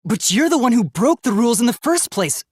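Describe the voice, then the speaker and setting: K1-B0, earnest husky-voiced young robot. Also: male voice, very high voice, big pitch swings, brisk, dry recording, studio quality, heavily acted. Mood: fierce, defiant. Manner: earnest, formal, emphatic delivery. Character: earnest, determined young male robot, idealistic and emotional. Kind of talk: dramatic video game voice acting.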